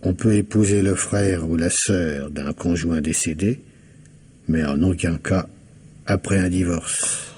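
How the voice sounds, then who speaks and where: An actor playing a weary married police inspector in a radio mystery, speaking colloquially and defensively. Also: male voice, sits low, slow, dark tone, slight background noise. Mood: guarded. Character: gruff, weary married police inspector, evasive with his wife. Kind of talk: old French radio mystery acting.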